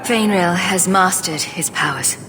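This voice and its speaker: A woman playing a steadfast, compassionate fantasy game heroine, measured and earnest. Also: on the low side, music in the background, lightly acted. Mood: calm. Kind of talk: dramatic video game voice acting.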